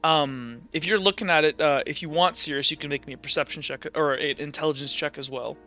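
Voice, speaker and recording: A man narrating a tabletop game as game master, improvising with halting, backtracking explanations. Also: a little high, sharp tone. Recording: slightly dull audio with music.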